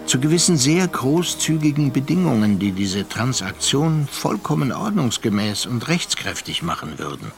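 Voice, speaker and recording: A reflective storyteller in a literary radio drama. He has a mid-pitched voice and composed, measured phrasing, and music plays clearly behind him. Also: big pitch swings. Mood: matter-of-fact. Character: composed, reflective male storyteller recalling a friend. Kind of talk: literary narration in a German radio play. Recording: music under the voice.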